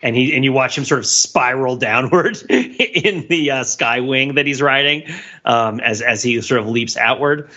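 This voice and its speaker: A man's voice: mid-range voice, moderate pace, sharp tone, dull audio. A man co-hosting a podcast, chatting casually about film in a playful, riffing way. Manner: laughs often while talking, playful riffing. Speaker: podcast co-host.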